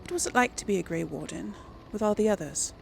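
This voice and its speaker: A woman's voice: medium pitch, lively intonation, quick pace, vocal fry, slight background noise, low-quality recording. A woman doing casual let's play commentary, musing aloud and hesitant as she decides things. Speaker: gaming video host.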